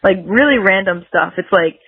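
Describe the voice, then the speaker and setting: Female interview guest, mid-pitched, loose rambling conversational delivery full of "like", dry but slightly lo-fi call sound. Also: lively intonation, moderate pace, husky, no echo. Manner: rambling, peppered with "like". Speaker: guest musician, singer-songwriter. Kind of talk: casual interview chat.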